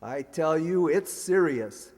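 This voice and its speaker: Guy as exasperated young son in a radio play, stammery, swingy high voice, hall echo. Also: lively intonation, slow pace, darkish tone. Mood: alarmed. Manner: stammering, earnest. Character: flustered, exasperated young bachelor son of rich man. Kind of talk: old-time radio comedy acting. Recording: echoey hall stage recording.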